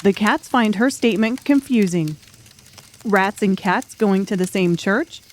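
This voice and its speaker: A woman's voice: mid-range voice, a little slow. Casting: female audiobook narrator with a mid-range voice, reading scripted fiction.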